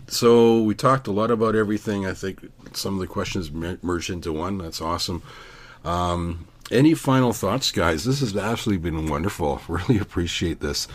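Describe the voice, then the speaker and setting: A man's voice: low-pitched, moderate pace. A man in his sixties hosting a casual interview, chatting in an encouraging, agreeable way. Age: 60s. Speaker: interview host, amateur drummer.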